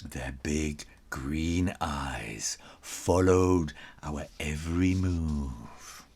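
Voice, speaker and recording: A man reading a children's story aloud as its author, theatrically, with dramatic pauses and whispery emphasis. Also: very deep voice, a little mellow, husky.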